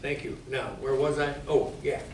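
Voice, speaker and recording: A man's voice: mid-range voice, fairly even intonation, moderate pace. Echoey man emceeing with dry asides. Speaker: show director and emcee. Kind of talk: informal stage introduction. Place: live stage show in an echoey room. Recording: echoey distant hall recording with noise.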